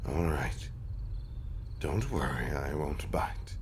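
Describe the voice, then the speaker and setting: Gentle zombie husband, played by a man, very low voice, slow and stammering, softly formal, intimate audio drama, faint insect noise behind. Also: expressive, heavily acted. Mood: sheepish, reassuring. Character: undead zombie husband, gentle, apologetic, tenderly devoted.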